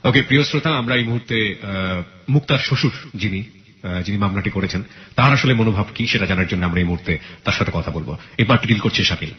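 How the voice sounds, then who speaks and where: Bangladeshi man, radio interviewer, lowish pitch, brisk pace, probing questions, dull lo-fi sound. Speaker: radio show host. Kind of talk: interviewing a guest for a real-life story radio show.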